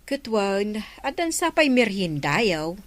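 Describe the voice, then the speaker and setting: A woman's voice: medium pitch, sing-song, takes it slowly. A woman acting a businesslike village councillor in an Ilocano comedy drama, with an insistent, questioning manner and an Ilocano accent. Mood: puzzled, probing.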